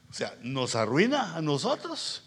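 Casting: male pastor, middle-aged, Guatemalan accent, raspy and very animated, folksy conversational sermon delivery. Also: on the high side, a little slow. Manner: informal, colloquial storytelling with acted-out dialogue. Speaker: pastor, preacher.

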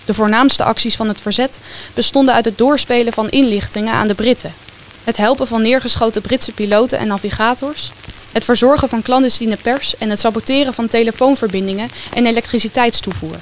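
A woman's voice: on the high side, fairly even intonation, a little fast, a little hiss or hum. A woman reading scripted narration for a radio play.

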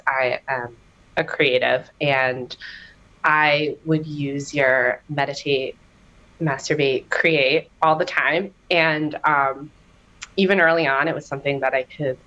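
A woman sharing a candid personal story as a guest on an interview podcast, animated and given to laughter. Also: low-quality recording. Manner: animated storytelling, laughing, bursts of high excitement.

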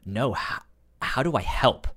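A man with a stutter, explaining things in a halting way in a casual book discussion as a podcast co-host. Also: medium pitch, expressive, a bit bright. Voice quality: stutter, repeated sounds and syllables.

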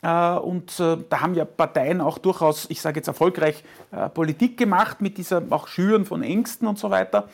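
A woman's voice: on the low side, moderate pace, vocal fry. A woman answering as an expert in an interview, hesitant and full of filler sounds.

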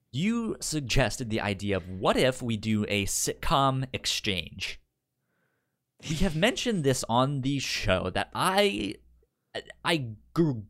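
A man talks as a podcast co-host in loose, conversational style, explaining things as he goes. His speech has a noticeable stutter on the starts of words, and his pitch sits in a middle male range. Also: a bit bright. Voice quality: stutters, repeating first syllables of words. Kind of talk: casual unscripted podcast chat.

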